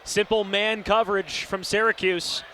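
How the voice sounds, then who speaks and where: A man's voice: high-pitched, steady tone, normal speed, pushed and bright. A young man giving explanatory color commentary during a live radio football broadcast. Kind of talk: live radio sports analysis. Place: sports broadcast position inside a domed stadium. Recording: faint stadium noise behind. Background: stadium ambience.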